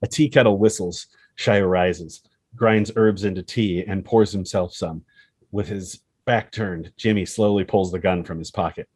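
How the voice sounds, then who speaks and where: A man's voice: low voice, fairly even intonation, measured pace, darkish tone, breathy edge. A man reading stage directions as scripted narration for a screenplay table read.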